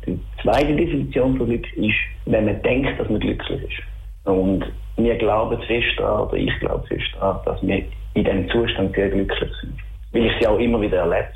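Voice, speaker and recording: A low-pitched middle-aged man with a Schaffhausen Swiss German accent talks in a casual interview. His voice has a creaky, gravelly grain and comes through thin, like a phone line. He feels his way through answers, haltingly but with enthusiasm. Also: very animated. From Schaffhausen, Switzerland. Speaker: interviewed band musician.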